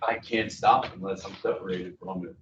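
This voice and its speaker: A man speaking quietly and haltingly while answering questions at a treatment intake. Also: deep voice, steady tone. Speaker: client entering addiction treatment. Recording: dull noisy distant audio in an echoey room.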